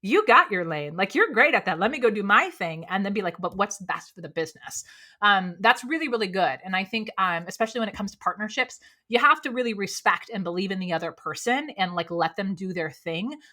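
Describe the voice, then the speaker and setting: A woman guest with a naturally low voice that carries a bright, forceful edge. She talks fast and animated, scattering "like" through her sentences. The mid-pitched voice sounds candid and conversational. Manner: animated, quick, peppered with "like". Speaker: podcast guest, speaking coach.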